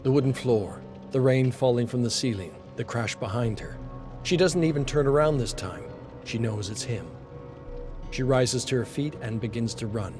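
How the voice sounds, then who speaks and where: A man's voice: mid-range voice, lively intonation, normal speed. A man reading a scripted dramatic story as a narrator. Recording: voice over background music. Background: music.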